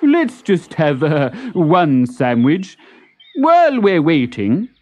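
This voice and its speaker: A woman voicing a resigned father tortoise who talks ponderously and deliberately in a children's storybook. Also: low voice, wildly swooping pitch, darkish tone, dry recording, heavily acted. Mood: hesitant, giving in.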